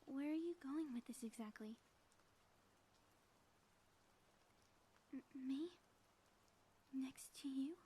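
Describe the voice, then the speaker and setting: A high, mellow-voiced female supervillain played by a woman in an ASMR audio drama, sly and grandly theatrical. She taunts in soft, pompous, flowery phrases that often sink toward a whisper, with faint noise behind her. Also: measured pace. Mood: mocking. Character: gloating female supervillain inventor, sly, theatrical, secretly smitten.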